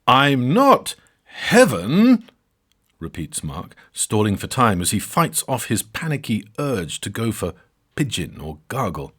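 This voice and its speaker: A man narrating a scripted comic story for a podcast, wry and theatrical with dramatic pauses. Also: on the low side, big pitch swings, a bit bright, breathy edge.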